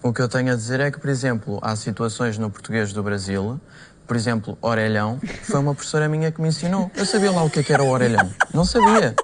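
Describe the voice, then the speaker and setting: A man's voice: mid-range voice, fast. A man arguing his point earnestly as a guest in a televised debate. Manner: earnest, argumentative. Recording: TV clip with faint studio noise.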